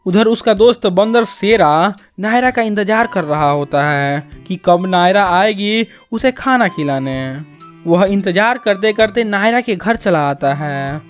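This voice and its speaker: A woman reading scripted narration for a cartoon story. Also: lowish voice.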